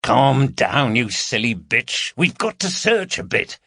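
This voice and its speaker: A man voicing a fat, gruff, irritable burglar in an audiobook, bossy and snappish. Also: mid-range voice, big pitch swings, takes it slowly, bright, forceful tone, no room sound, studio quality, pitched above the performer's own voice, exaggerated voice.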